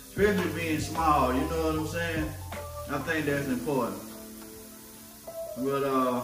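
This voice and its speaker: A man's voice: a little high, distant mic. A man in his forties co-hosting a family vlog, chatting casually while he cooks. He talks in a laid-back way and often stumbles and restarts. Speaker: vlog co-host, husband. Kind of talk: casual chat while cooking. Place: home kitchen, indoors. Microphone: far camera. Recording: echoey kitchen from across the room with music.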